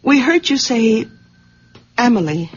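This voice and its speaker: A woman playing the concerned, middle-aged daughter of an old man in a 1970s radio drama, husky and carefully hesitant. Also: fairly high pitch, lively intonation, takes it slowly, full character voice. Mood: careful probing. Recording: dull vintage radio recording.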